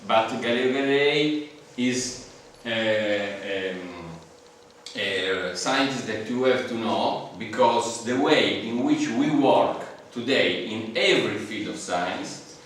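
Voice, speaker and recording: A man's voice: fairly low pitch, fairly bright tone, far from the mic, a little hiss or hum. Italian man lecturing in an echoey hall. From Italy. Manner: halting, many fillers and restarts. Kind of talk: guest seminar lecture in English.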